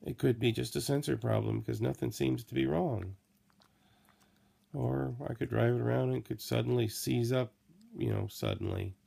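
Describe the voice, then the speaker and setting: Middle-aged man, hoarse and worn out, gravelly fry at a middling pitch, slow rambling vlog talk. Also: very animated, mellow. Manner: slow, tired, rambling with many fillers. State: hoarse, coughing. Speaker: vlogger. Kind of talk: late-night personal video diary. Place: small room indoors at night. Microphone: laptop or phone camera close to face.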